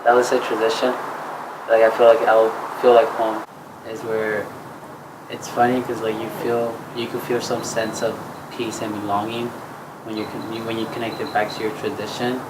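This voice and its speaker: Young man, from Mexico, student, halting casual answers, monotone, clear background hum. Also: fairly low pitch. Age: young adult. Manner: halting, full of "like" and restarts. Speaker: student interviewee.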